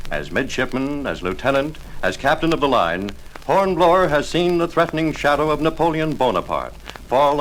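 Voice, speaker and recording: A man's voice: on the high side, a bit bright. A man announcing an old-time radio adventure drama in a grand, declamatory storytelling style. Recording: faintly noisy old radio transcription.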